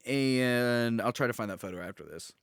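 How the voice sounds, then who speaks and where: A man's voice: mid-range voice, normal speed, clean tone, dry recording, studio quality. A man co-hosting a podcast, chatting casually and telling personal anecdotes while acting out the dialogue he quotes. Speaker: podcast co-host.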